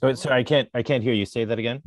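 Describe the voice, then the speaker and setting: A man's voice: mid-range voice, steady tone, very fast, no echo. A man in his thirties moderating a video call interview, asking questions conversationally. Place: small room indoors.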